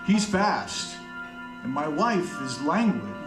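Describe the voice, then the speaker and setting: An actor voices a murdered man narrating radio noir in a slightly high male voice, very slow and florid. He is bitter and brooding, in a roomy space with guitar music behind him. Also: big pitch swings. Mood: wry. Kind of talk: poetic radio noir monologue.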